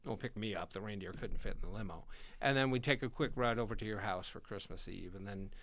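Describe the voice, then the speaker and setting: An American man playing Santa Claus as a kindly, chatty old man hosting a casual interview, gentle and reassuring. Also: fairly low pitch, quick pace, dry recording. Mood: chatty, fond. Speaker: podcast host.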